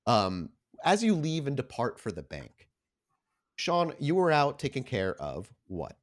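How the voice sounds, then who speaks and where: A man's voice: mid-range voice, wildly swooping pitch, unhurried. A man narrating a tabletop roleplaying game as game master in a conversational, descriptive way.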